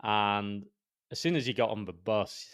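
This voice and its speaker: A man with a Yorkshire accent, co-hosting a podcast. His voice is low and smooth. He tells a rambling, enthusiastic story full of restarts, recorded dry and close. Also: a little slow, a bit bright, no room sound. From northern England. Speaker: podcast co-host. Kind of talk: casual storytelling.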